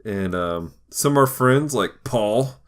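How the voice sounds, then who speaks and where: A man's voice: lowish voice, animated, slow pace. A man hosting a podcast in a casual, jovial way, laughing easily as he talks. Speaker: podcast host.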